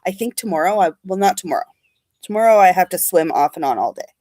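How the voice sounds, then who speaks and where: A woman's voice: fairly low pitch, expressive, fast talker, a little mellow, no room sound. A young woman chatting casually on a livestream, sniffly from allergies and rambling as she answers comments. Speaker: beauty vlogger.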